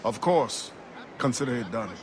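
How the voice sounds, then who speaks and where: Forceful seafaring freedom fighter, played by a man, noisy ambience. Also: medium pitch, animated, moderate pace, close to an everyday voice. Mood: dry, guarded. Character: determined, commanding male freedom fighter and seafarer. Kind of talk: dramatic game cutscene acting. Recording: clearly noisy game ambience behind.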